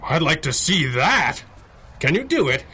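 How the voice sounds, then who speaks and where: A suave, crisp-voiced masked hero. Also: male voice, on the high side, big pitch swings, a little slow, sharp tone, full character voice. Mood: amused. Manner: dry, composed, understated. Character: suave, wry masked hero, male crimefighting boss. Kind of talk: 1930s radio adventure drama acting.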